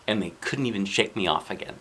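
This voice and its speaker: A man reading a children's book aloud as an expressive dad, with dramatic emphasis. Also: on the low side, fast talker, slightly rough. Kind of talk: animated storybook narration.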